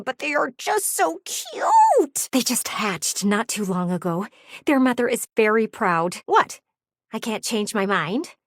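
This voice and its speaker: A woman's voice: on the high side, no echo, studio quality. A woman voicing a gossipy, vain and dramatic talking house window for a video game, with a nosy, fretful, teasing manner. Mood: gushing, delighted. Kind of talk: video game character voice acting.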